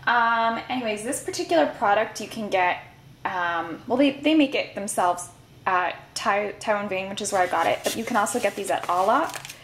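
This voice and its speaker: A woman giving a relaxed, chatty food review at her kitchen counter, with some fillers. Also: medium pitch, normal speed, low-quality recording. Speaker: food vlogger. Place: home kitchen counter, indoors.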